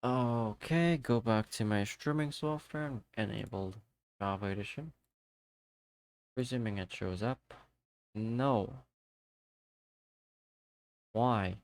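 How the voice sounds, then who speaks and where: A man's voice: mid-range voice, slow, pauses often. A man streaming a game live, chatting casually with viewers and talking to himself as he plays. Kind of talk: casual live-stream chat while gaming.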